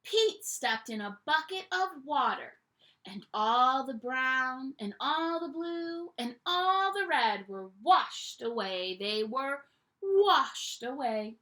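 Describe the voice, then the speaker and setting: A young woman teacher reading a picture book aloud to children at home, in an animated storytime voice that invites them to join in. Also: high-pitched, takes it slowly. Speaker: primary school teacher.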